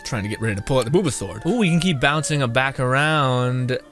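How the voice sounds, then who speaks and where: A woman with an unusually low voice streams a video game. She comments casually and mutters her reactions as she goes. Faint music plays in the background. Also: lively intonation, fast. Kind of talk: casual live gameplay commentary.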